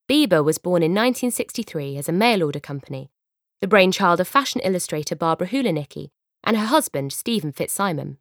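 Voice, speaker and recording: A young British woman narrates a scripted audiobook. Her voice sits slightly low but moves widely and expressively, with wry asides. The sound is close and very dry, as in a studio booth. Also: no echo. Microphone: close studio microphone.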